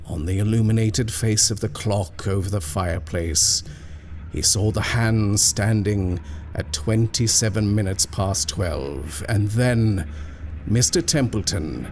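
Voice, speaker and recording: A man reading a ghost story aloud in a measured, hushed storytelling manner. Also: lowish voice, a little hiss or hum. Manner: slow, measured, hushed at tense moments. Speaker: narrator. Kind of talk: scripted horror story reading.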